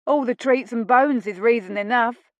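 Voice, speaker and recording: A cheeky young stable groom from the north, loud and cheerful in broad dialect, as a woman puts him on. Close, dry sound. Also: on the high side, normal speed, no room sound, lo-fi sound, pitched above the performer's own voice, natural voice. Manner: bold, cheerful dialect speech.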